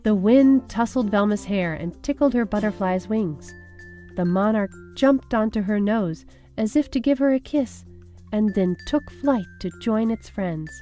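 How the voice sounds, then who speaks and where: Female storybook reader, mid-range voice, expressive children's read-aloud with light music underneath. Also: mid-range voice, unhurried. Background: background music.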